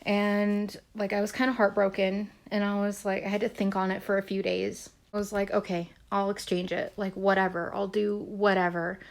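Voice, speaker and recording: A woman's voice: medium pitch, level tone, moderate pace, few pauses. A young woman chatting casually in a home vlog, a bit nasal as she gets over a cold, rambling with lots of fillers. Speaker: vlogger. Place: small bedroom indoors.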